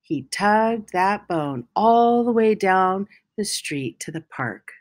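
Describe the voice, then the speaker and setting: Animated, slow-paced woman reading a storybook. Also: medium pitch. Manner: deliberate, expressive phrasing with emphasized words. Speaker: school storyteller reading a picture book aloud. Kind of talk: children's storybook read-aloud.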